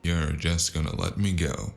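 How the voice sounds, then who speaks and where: A man playing a weary, wisecracking noir investigator in an audio drama, dry and sardonic, with a gravelly voice and an unhurried delivery. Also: very deep voice, animated, heavily acted. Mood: dry, guarded. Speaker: narrator and lead character.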